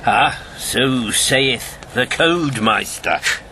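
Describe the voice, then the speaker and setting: A man's voice: medium pitch, animated, extremely slow, crowd noise. A man acting in a radio drama as an old former secret agent, jovial and world-weary, with a theatrical, bantering manner. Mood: wry, reflective. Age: 70s.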